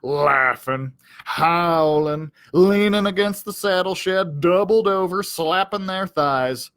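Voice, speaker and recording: A man's voice: sits high, slow pace, fairly bright tone, dry recording. A man voicing a self-important, aggrieved cowdog who tells his storybook tale in a pompous, melodramatic, wordy way.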